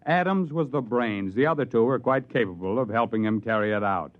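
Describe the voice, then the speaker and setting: Man acting driven government investigator, earnest, insistent, persuasive, medium pitch, vintage radio drama, dull sound. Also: lively intonation, fairly quick, lightly acted. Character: Les, driven, insistent government investigator pleading his case.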